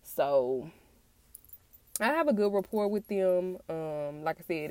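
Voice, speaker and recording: Woman, low-pitched, raspy, stuffed-up from illness, earnest personal monologue, halting pauses, dry close sound. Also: mellow, dry recording. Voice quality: congested-sounding. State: getting over a flu-like illness. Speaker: podcast host and author.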